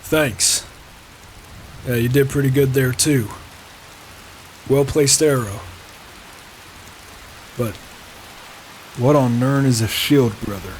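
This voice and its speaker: A man plays a laconic, self-assured warrior for a fantasy game roleplay. He takes his time and muses in measured phrases. Rain and thunder run behind him over a clearly hissy microphone. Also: medium pitch, close to an everyday voice, poor audio. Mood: guarded, curious. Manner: measured, musing to himself. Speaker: roleplaying player. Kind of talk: in-character video game roleplay.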